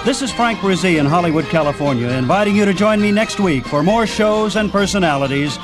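A man's voice: high-pitched, expressive, fairly quick. A man hosting a radio show, delivering a scripted sign-off narration. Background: loud music.

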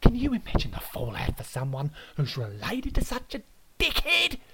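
A man's voice: high voice, very animated, normal speed, background noise, pitched above the performer's own voice. A man voicing a blustering host who swears and mocks his guest. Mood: indignant. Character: loud brash Australian male host, bullying.

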